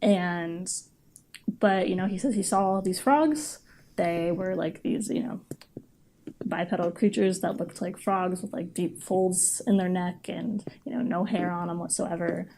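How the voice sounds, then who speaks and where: Woman storytelling casually over clear noise. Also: fairly low pitch, steady tone, measured pace, mellow. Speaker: podcast guest co-host. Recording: clearly noisy recording with clicks.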